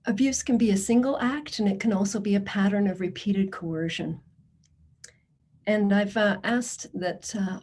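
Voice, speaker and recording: A woman giving a prepared address to a committee as a social services presenter. She speaks in a measured, earnest way and reads testimonies in the first person. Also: mid-range voice, darkish tone.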